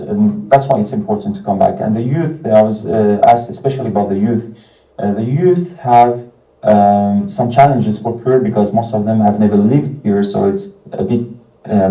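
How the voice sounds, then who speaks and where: A man, giving an informal prepared talk in a halting, hesitant way with frequent pauses. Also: lowish voice, mellow. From France. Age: 30s. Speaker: non-profit organization founder and representative. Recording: muffled, distant, echoey video playback.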